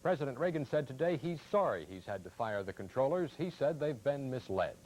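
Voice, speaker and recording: Man, American accent, news anchor, scripted TV delivery, medium pitch, faintly noisy archival broadcast. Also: sing-song, brisk, a little mellow.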